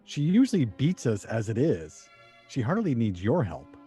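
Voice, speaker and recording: An actor playing a competitive, irritable husband in a radio play, with a testy, literal-minded manner. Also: male voice, medium pitch, wildly swooping pitch, moderate pace, mellow, husky, faint music behind, exaggerated voice. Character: competitive, irritable husband who plays to win.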